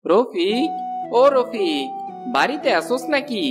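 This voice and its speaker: A man's voice: high-pitched, very animated, slow, over music, full character voice. A man playing a friendly, brotherly village neighbour in a cartoon, folksy and familiar. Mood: calling, pleading. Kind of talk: cartoon dialogue acting.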